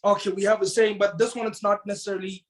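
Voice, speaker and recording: A man's voice: high voice, little pitch movement, fast. Earnest South African man, motivational. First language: Tswana. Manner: earnest, repeats words, rises to emphatic bursts. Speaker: guest speaker. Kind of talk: motivational storytelling.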